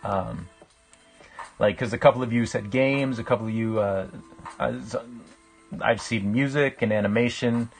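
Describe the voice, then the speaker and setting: A man's voice: fairly low pitch, moderate pace, pauses often. Reflective man chatting over music. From Canada. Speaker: live-streaming painter. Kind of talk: casual chat with livestream viewers. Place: small indoor room with a painting on the wall.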